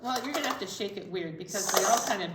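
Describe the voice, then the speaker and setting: A woman's voice: mid-range voice, animated, fast. A woman hosting storytime, chatting casually and encouragingly to young children. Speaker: children's library storytime host. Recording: echoey, distant, noisy room.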